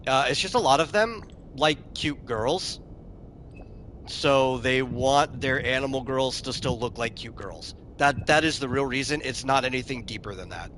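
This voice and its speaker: Bright-voiced male streamer chatting. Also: mid-range voice, a little slow, slight background noise, rough recording. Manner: chatty, repeats words when starting, answers chat. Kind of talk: casual commentary while playing a game.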